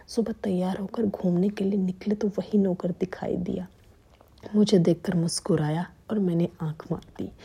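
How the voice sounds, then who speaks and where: A young woman narrating a story in a steady hushed whisper. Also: mid-range voice, gravelly, breathy edge.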